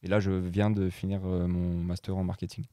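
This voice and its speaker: A man's voice: low voice, level tone, a little fast, mellow, no room sound, studio quality. A young man in his twenties, a guest DJ and producer who grew up in Morocco, chatting casually with many hesitations and fillers. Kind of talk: casual chat.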